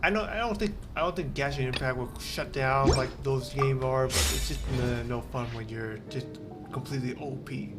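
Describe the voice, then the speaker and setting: A man's voice: medium pitch, normal speed. A man streaming a video game and chatting casually, rambling with frequent restarts. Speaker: gaming streamer. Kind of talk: casual gameplay commentary. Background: loud game music.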